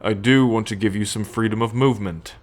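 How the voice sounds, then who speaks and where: A man playing a possessive, haughty alien soldier in an ASMR roleplay, speaking in a measured, imperious way with musing hums and sighs. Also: fairly low pitch, fairly even intonation, close to an everyday voice. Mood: commanding, possessive. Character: possessive male alien soldier, haughty, teasing, coolly menacing captor. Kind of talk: ASMR roleplay acting, addressed to the listener.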